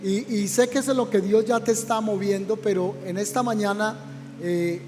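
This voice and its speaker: A man's voice: high-pitched, steady tone, moderate pace, in a large hall, background noise. A man, a pastor, interviewing a guest in a church program with an encouraging pastoral manner.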